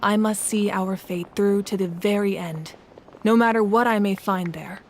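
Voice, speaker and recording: A woman's voice: mid-range voice, steady tone, moderate pace, a little hiss or hum, natural voice. A woman playing a composed, resolute warrior goddess who rules a nation, speaking formally and solemnly in a video game.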